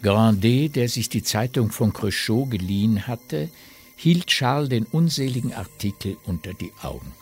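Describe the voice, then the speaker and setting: Low-voiced man narrating a radio play. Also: animated, moderate pace, a little mellow, breathy edge, faint music behind. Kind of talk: scripted literary narration.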